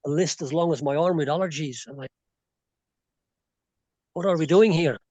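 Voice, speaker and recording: A man's voice: on the high side, normal speed, darkish tone, no echo. A man from Belgium with a slightly Irish-tinged accent, a guest chatting casually in an interview with many fillers and restarts. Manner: rambling, frequent fillers and restarts. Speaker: expat guest. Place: rural property in Bolivia.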